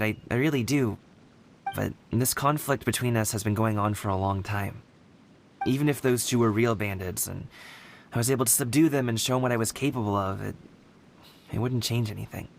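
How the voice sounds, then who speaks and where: A man's voice: fairly low pitch, lightly acted. A man playing a friendly, earnest but self-doubting young man in a video game, with a casual, hesitant delivery.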